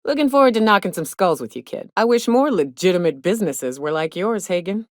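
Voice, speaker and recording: An actress playing a hard-drinking, trigger-happy but loyal frontier mercenary in a video game, with folksy, salty, sardonic phrasing. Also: female voice, fairly low pitch, expressive, fairly quick, no echo, studio quality, natural voice. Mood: wry, gruff. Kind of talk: video game character dialogue.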